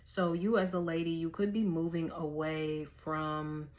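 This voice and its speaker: A woman's voice: deep voice, flat delivery, measured pace, a little mellow. A woman, giving a casual, slangy tarot reading and thinking aloud as she goes. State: hoarse. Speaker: tarot reader.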